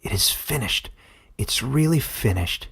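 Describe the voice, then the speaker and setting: A wise old warden acted out by a male narrator in a fantasy audiobook. The voice is raspy and gravelly, and he speaks gently and fondly, as if to a child. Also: mid-range voice, animated, unhurried, full character voice. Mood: relieved, pleased. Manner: kindly, fond, addresses listener as child.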